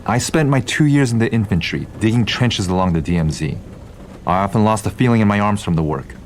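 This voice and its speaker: A stern man in service, a former infantryman who speaks formally. His voice is fairly low and admonishing, set in an audio drama with faint rainstorm sound. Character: stern, dutiful male aide, an army veteran. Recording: faint rainstorm noise behind.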